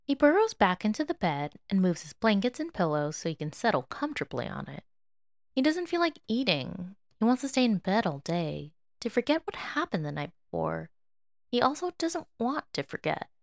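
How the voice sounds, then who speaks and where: A woman narrating a piece of fiction as an expressive, scripted audio story reading. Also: medium pitch, moderate pace, vocal fry, no room sound, studio quality.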